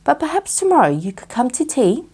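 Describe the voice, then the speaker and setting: Storybook owl, male, courteous, pompous, formal wording, lifted lively pitch; woman performing. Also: fairly quick, breathy edge, exaggerated voice. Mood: politely declining. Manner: formal, wordy. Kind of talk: children's bedtime storybook reading.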